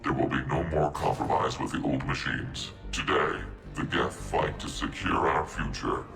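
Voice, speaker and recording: Game role: calm synthetic soldier, very low, precise and formal, music behind. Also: male voice, echoey room. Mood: calm, resolute. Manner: measured, formal, emotionless. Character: calm, logical male-voiced machine intelligence soldier. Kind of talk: video game dramatic acting. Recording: game dialogue over music.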